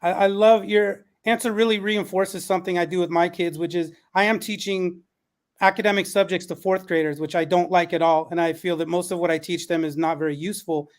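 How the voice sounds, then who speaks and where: A man's voice: high-pitched, steady tone, fairly quick. A man speaking conversationally as a panel host and schoolteacher, earnest and appreciative. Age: adult, parent of a newborn.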